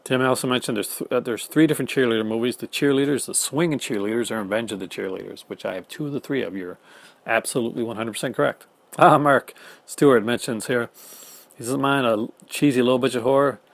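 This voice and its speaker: A Canadian man talking fast and casually to the camera at home, with many fillers and restarts. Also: medium pitch, creaky. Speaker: film collector YouTuber. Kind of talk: casual chat reading viewer comments. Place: home room in front of film shelves.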